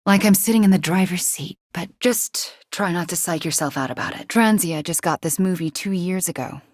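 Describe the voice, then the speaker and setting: Woman acting a breathy, haunted young sorceress heroine. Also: lowish voice, moderate pace, a little mellow, no echo, clean studio sound, lightly acted. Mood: confiding. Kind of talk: video game voice acting.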